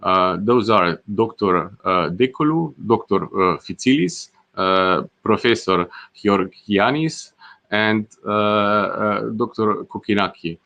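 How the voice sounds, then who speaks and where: Male webinar host, low voice, halting delivery full of fillers, introducing an online course. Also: vocal fry, sharp tone, lo-fi sound. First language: not Greek. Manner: hesitant, many "uh" fillers and restarts. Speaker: webinar moderator.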